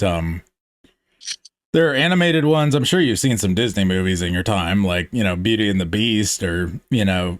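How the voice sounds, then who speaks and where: A man's voice: fairly low pitch, no room sound, studio quality. Rambling, hesitant man chatting. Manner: rambling, hesitant, many fillers. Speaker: podcast host. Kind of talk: casual chat.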